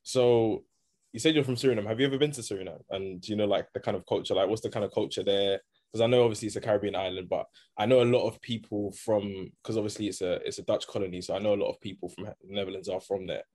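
A man's voice: on the low side, steady tone, quick pace, darkish tone. A young man hosting a casual conversational interview.

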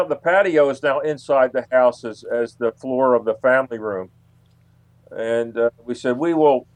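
A man speaking as an interview guest, recounting anecdotes casually in a halting, hesitant way with long pauses. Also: medium pitch, darkish tone.

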